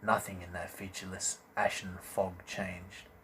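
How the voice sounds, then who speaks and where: A man reading a fantasy novel as dramatic narration, with deliberate, theatrical emphasis. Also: deep voice, little pitch movement, fairly bright tone, rough recording. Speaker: audiobook reader, host. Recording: amateur recording with faint background noise.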